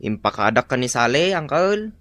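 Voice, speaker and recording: A man's voice: mid-range voice, big pitch swings, moderate pace, a bit bright, dry recording. An actor playing a timid man who reports to the old farmer in a hesitant, deferential way.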